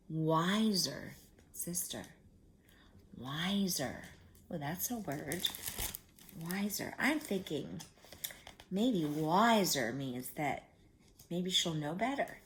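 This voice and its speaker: A woman reading a children's story aloud in an expressive, drawn-out way, with chatty asides to young listeners. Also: low voice, slight background noise.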